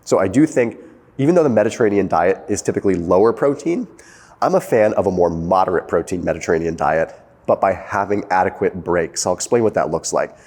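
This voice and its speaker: A man, not yet sixty, casually explaining health research as host of his own channel, talking fast and emphatically with frequent "okay" and "like". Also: deep voice, animated, a little mellow, in a large hall. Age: young adult.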